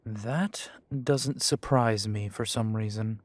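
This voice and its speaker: A sardonic half-breed werewolf, played by a man in an audio drama, talking slowly in a dry, wry tone. His voice sits mid-range and sounds close and dry, with no room around it. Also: lowish voice, dry recording.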